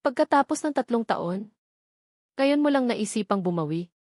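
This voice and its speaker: A woman's voice: fairly high pitch, animated, moderate pace, a little mellow, no echo, studio quality. A woman voicing a protective young man and father figure in an audio drama, speaking in a tense, heated way. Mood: angry.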